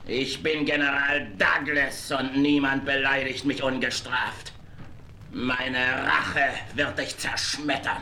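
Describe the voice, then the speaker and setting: A proud captive general spits slow threats in a sharp, tense voice. He sounds far off in a roomy space, with a faint ambience behind him. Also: mid-range voice, flat delivery, a little hiss or hum, heavily acted. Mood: furious. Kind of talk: radio play acting.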